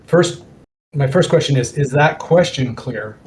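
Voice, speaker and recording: A man with a mid-pitched voice facilitates an online group call. He speaks casually and haltingly, thinking aloud as he goes. Clear noise sits behind his voice on the call. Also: expressive, a little mellow. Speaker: working group meeting facilitator. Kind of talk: casual online meeting talk.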